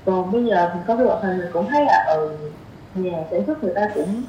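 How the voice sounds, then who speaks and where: A woman's voice: fairly low pitch, animated, brisk, warm tone, dull audio, a little hiss or hum. A young woman with a southern Vietnamese accent, chatting casually as a podcast guest and thinking aloud with repeated phrases. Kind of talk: casual conversational podcast chat. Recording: roomy echo, faint music behind. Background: faint music.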